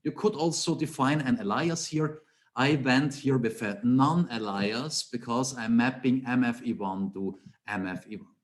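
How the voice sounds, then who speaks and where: A man's voice: mid-range voice, a little slow. Austrian man explaining a technical topic. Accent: Austrian German. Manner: explanatory, rhetorical questions, emphatic repetition. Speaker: conference speaker, Angular trainer. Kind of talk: technical talk with slides.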